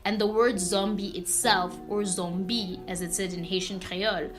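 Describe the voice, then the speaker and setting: A woman's voice: medium pitch, steady tone, moderate pace. Earnest woman explaining over music. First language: Kikongo. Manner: earnest, emphatic. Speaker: social media creator. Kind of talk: explanatory video monologue.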